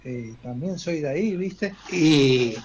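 A man's voice: on the high side, animated, slow pace, a little hiss or hum. A middle-aged or older man with a Mendoza-tinged Argentine accent, chatting casually as a phone-in caller. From northern Argentina. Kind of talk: casual chat. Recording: dull, muffled phone call.

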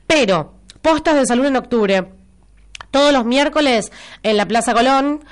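An Argentine woman hosting a local radio show in a studio, speaking with a somewhat high, clear, smooth voice despite a stuffy nose from a cold. She talks in a chatty, teasing way and repeats key details for her listeners. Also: a little slow. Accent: Rioplatense Argentine Spanish. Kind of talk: casual live radio chat with listener messages.

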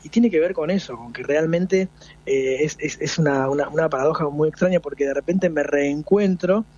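A man's voice: a little high, moderate pace, a little mellow, highs cut off. A man in his forties with an Argentine Spanish accent, a folk singer being interviewed, talking reflectively with many restarts. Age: 40s. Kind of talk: radio interview.

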